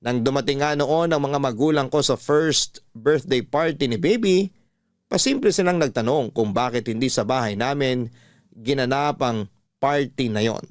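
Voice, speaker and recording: A Filipino man narrates a love story in radio style, reading from a script. His voice sits at a medium pitch in a very dry studio recording. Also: normal speed, no echo.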